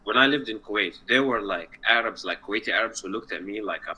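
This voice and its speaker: A man's voice: lowish voice, quick pace, bright, forceful tone, slightly rough, low-quality recording. A man from Egypt in a casual online chat, earnest and rambling, sometimes searching for words. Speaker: chat partner.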